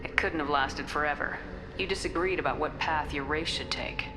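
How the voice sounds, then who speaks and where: A woman's voice: sits low, normal speed, background noise, lightly acted. A woman playing a resolute military commander in a video game, direct, controlled and businesslike. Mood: measured, firm. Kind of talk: video game character acting.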